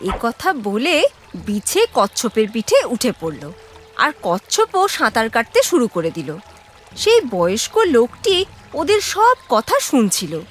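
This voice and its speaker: A woman narrating a scripted story for children in an expressive way. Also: on the high side, slow, faint music behind.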